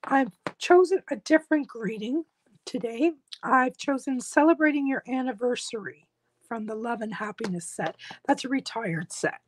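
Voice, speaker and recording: A hoarse woman with a croaky voice, walking through a craft tutorial step by step in a reassuring, casual way. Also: on the high side, animated, normal speed, a little hiss or hum, low-quality recording. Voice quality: croaky, husky. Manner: reassuring, instructional. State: hoarse, losing her voice. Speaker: craft tutorial host, demonstrator.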